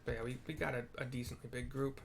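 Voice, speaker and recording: A man's voice: mid-range voice, steady tone, quick pace, gravelly. Laid-back man giving casual gameplay commentary. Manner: laid-back, descriptive, informal. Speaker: gamer narrating a playthrough. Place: indoors at a computer.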